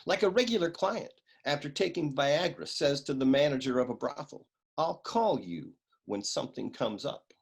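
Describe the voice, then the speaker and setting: A man playing a cynical, down-on-his-luck private eye in a humorous noir radio play, world-weary and grumbling, with a husky voice. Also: a little high, animated, normal speed, heavily acted. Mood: exasperated.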